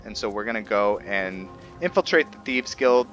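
A man with a lowish, forceful voice narrates a roleplay game. He explains casually and haltingly, and faint music plays behind him. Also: lively intonation, muffled recording. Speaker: gamer narrating a roleplay playthrough.

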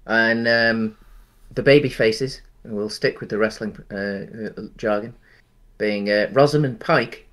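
A man in his thirties with a fairly low voice chats about a film over a home video call. He rambles in an easygoing way and fills his pauses with ums. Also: a bit bright. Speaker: podcast co-host. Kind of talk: casual film-review chat. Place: home room.

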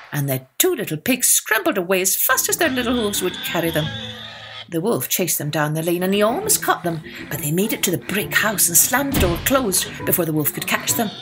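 A woman narrating a scripted children's tale as a storyteller, with expressive, deliberate phrasing. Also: medium pitch. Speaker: storyteller and podcast host.

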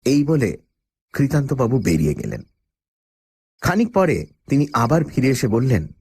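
A man's voice: mid-range voice, wildly swooping pitch, normal speed, darkish tone, breathy edge, dry recording, clean studio sound, heavily acted. A man playing the uneasy first-person narrator of a radio horror story, telling it in a dramatic, suspenseful way. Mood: tense, steady. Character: male first-person narrator, uneasy job-seeker with a dog.

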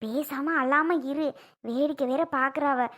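Casting: woman as practical, anxious village girlfriend of a bride, high-pitched, southern Tamil Nadu accent, coaxing firmly, family drama. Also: measured pace, a bit bright. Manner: coaxing, admonishing. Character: worried, practical female friend of the bride.

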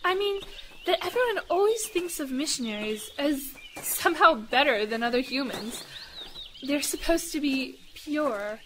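High-voiced, devout lady missionary. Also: expressive, moderate pace, slight background noise. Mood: earnest, humble. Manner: earnest, gentle, formal phrasing. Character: devout, earnest, humble lady missionary in India. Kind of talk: audio drama acting.

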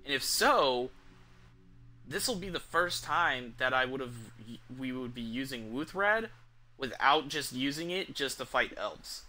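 A man's voice: medium pitch, lively intonation, measured pace, bright, forceful tone. A young man casually commentating on his game live, rambling and swearing as he thinks aloud. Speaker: game streamer.

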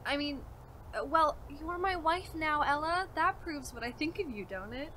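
A woman's voice: sits high, expressive, moderate pace, bright, forceful tone, slight background noise. A woman playing an eager, naive young husband with a folksy, coaxing manner in a radio drama. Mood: eager, reassuring.

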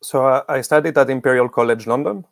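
A man's voice: medium pitch, quick pace, creaky, no echo, studio quality. A middle-aged man giving explanatory interview answers as a guest expert on a video call. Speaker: guest expert, academic researcher.

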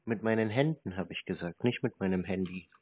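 Husky man playing naive on the phone. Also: sits low, brisk. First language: German. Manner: playful, feigned naivety. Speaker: scambaiter taking calls. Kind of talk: casual phone chat. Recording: muffled narrow phone-like sound.

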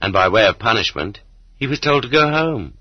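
A man reading a literary audiobook in an expressive, rhetorical manner. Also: fairly low pitch, fairly quick, no echo. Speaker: audiobook narrator. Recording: slightly dull audiobook transfer from cassette.

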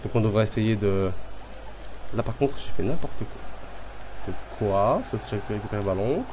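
A man with a cold commentating his own football video game match in a casual, excitable way, calling out to the players and the referee. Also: on the low side, normal speed, background noise. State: has a cold.

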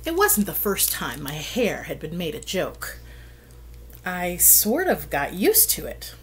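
A middle-aged woman reading a children's picture book aloud, with expressive, deliberate storytelling and drawn-out phrases. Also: lowish voice, slight background noise. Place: small plain room indoors.

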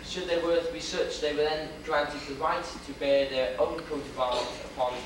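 Young man, Welsh accent, theatrical lecturer, fairly high voice, echoey hall recorded from far away. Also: fairly even intonation, moderate pace. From Wales. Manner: theatrical, joking with the audience. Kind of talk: costumed history lecture. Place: lecture hall with projection screen. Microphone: far camera. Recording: echoey hall, distant camera, noisy old video.

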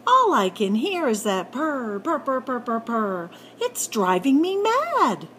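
A woman's voice: on the high side, very animated, slow pace, a bit bright, soft background music, heavily acted. A woman voicing a fussy, sleepless male rabbit in a children's storybook, with whiny, dramatic delivery. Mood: exasperated.

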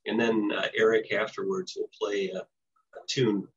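An older American man giving an informal presentation and answering questions in a halting, hesitant way over a video call. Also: on the low side, flat delivery, slight background noise. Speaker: presenter, radio show producer. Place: small home office with bookshelves, indoors. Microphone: computer mic on a video call. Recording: dull lo-fi video call audio.

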